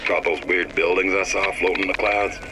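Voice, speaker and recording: An actor playing a bitter, regretful restaurant owner, grumbling in folksy speech for a game audio diary. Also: male voice, deep voice, fairly even intonation, brisk, lightly acted. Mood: bitter, rueful. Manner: colloquial, grumbling. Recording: noisy old audio diary recording.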